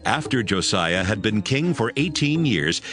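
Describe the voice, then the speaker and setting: A man narrating scripture as a scripted, dramatized audiobook in a measured storytelling style. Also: lowish voice, sing-song. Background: prominent music.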